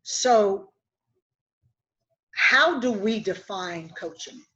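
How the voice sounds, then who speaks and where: A woman's voice: medium pitch, lively intonation, extremely slow, pushed and bright. A woman from West Virginia, an executive coach speaking in a webinar, explaining her points earnestly through anecdotes. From West Virginia, USA. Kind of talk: webinar discussion. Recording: dull lo-fi webinar audio.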